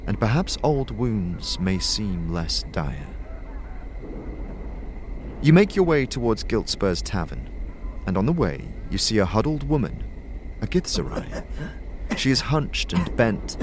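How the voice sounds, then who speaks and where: A man's voice: on the low side, very animated, a little slow, background noise. A man narrating a fantasy audio drama from a script in a dramatic storytelling style.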